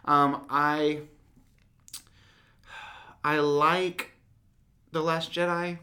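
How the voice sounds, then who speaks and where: Enthusiastic raspy-voiced man. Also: fairly high pitch, level tone, bright, forceful tone. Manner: enthusiastic, does quick impressions of characters. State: hoarse from yelling. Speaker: podcast co-host. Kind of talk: casual chat ranking favorite voices.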